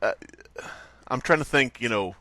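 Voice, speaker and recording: A man's voice: medium pitch, lively intonation, moderate pace, sharp tone. A man co-hosting a podcast in casual, joking conversation. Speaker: podcast co-host. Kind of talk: casual comedic chat.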